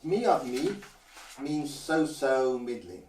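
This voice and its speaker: An older man from Guernsey teaching basic phrases in a measured, careful way and slowing down to model each phrase. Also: medium pitch, big pitch swings, darkish tone, a little hiss or hum. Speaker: language teacher. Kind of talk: instructional explanation. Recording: roomy echo, a little distant from the mic.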